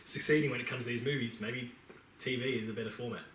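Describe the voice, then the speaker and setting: A man's voice: mid-range voice, fast talker, a bit bright. A man co-hosting a podcast, giving his opinions in casual conversation. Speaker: podcast co-host. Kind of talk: casual chat about film and games. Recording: echoey room, distant mic, faint noise.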